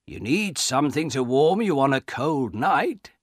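A man's voice: mid-range voice, big pitch swings, unhurried, no echo, clean studio sound, pitched above the performer's own voice. A man voicing a curious, hospitable old father in a storybook, eager and insistent.

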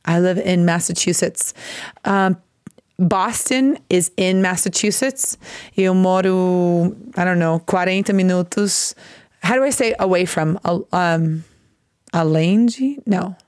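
An American woman hosts a live English lesson over a video call, explaining phrases slowly and trying out hesitant Portuguese. Her voice sits low for a woman and her delivery is unhurried. The desk microphone sound is close and dry. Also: darkish tone, no room sound. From United States. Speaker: English teacher and host. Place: home room, seated at desk.

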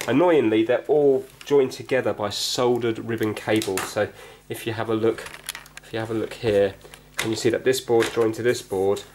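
A man's voice: lowish voice, fairly even intonation, normal speed, few pauses, a little mellow, slight background noise. A man hosting a hobby repair video, chatting casually and explaining as he goes, often questioning himself. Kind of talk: casual hands-on repair chat, thinking aloud. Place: indoors at a workbench.

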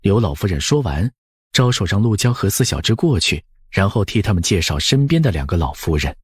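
A man narrating an audiobook as expressive scripted storytelling, with a husky voice. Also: fairly low pitch, a little fast, no echo, studio quality.

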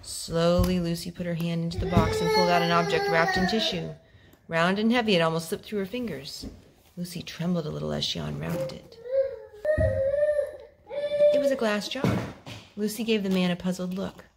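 Creaky-voiced woman reading to children. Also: on the low side, normal speed. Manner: expressive, gentle talk aimed at small children. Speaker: storytime host, reader to young children. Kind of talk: read-aloud picture book storytelling. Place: home living room with wooden floor. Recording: home video with clear background noise.

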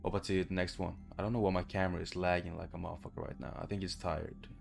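A young man with a low voice and plenty of fry in it, commentating on a game. He talks casually and swears freely, with faint music behind him. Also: flat delivery, racing. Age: young adult. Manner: sweary, informal. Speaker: gaming video creator. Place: small bedroom, indoors.

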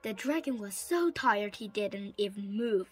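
A woman's voice: medium pitch, lively intonation, a little slow, husky, faint music behind. A woman reading a children's picture book aloud. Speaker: storybook reader.

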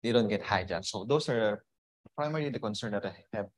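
A man's voice: on the low side, animated, fast. A man introducing himself informally and a little hesitantly at a roundtable. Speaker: roundtable participant.

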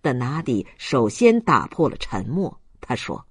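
A man reading a novel aloud as a dramatic, deliberate audiobook narrator. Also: medium pitch, very animated, breathy edge, no echo, clean studio sound.

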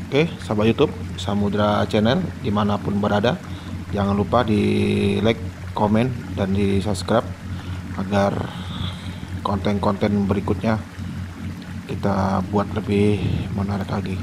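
A man's voice: lowish voice, steady tone, a little slow, creaky. A man hosting a casual vlog, greeting viewers as friends and narrating what passes. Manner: greets viewers, calls them YouTube friends. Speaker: vlog host. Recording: outdoor boat and water noise.

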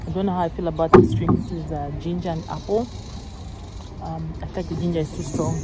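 A woman's voice: deep voice, steady tone, fast talker, very noisy. A woman in her twenties chatting casually in a vlog, rambling with many fillers and restarts. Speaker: vlogger. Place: out and about, then a café.

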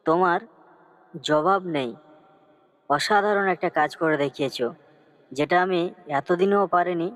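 A man's voice: high voice, measured pace, pauses often, a bit bright, dry recording. A man playing a humble woodcutter father in a cartoon story, earnest and plaintive. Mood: amazed, grateful.

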